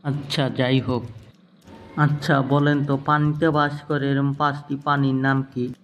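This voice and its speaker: A plain-spoken male character in a cartoon interview skit, voiced by a man. The pitch is in the middle and the delivery conversational, with faint noise in the background. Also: flat delivery, unhurried, lightly acted. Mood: questioning. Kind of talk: comic cartoon skit dialogue.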